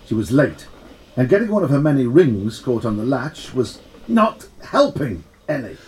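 A measured, wry storyteller performed by a man narrates a science fiction adventure. The phrasing is deliberate and dramatic, and the pitch moves in a lively, expressive way across a medium register. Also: a little hiss or hum, close to an everyday voice, poor audio. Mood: measured storytelling. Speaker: audiobook reader.

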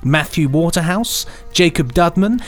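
A man's voice: fairly high pitch, lively intonation, measured pace, soft background music. A British man co-hosting a podcast in a casual, chatty, playful and rambling way. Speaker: podcast co-host.

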